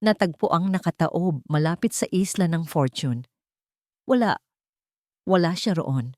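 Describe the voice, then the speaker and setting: A woman voicing a worried, frightened older man in an audiobook story, speaking in halting, urgent, repeated phrases. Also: fairly high pitch, lively intonation, a little mellow, no echo, studio quality. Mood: fearful, halting. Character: worried, frightened old fisherman family friend, breathless.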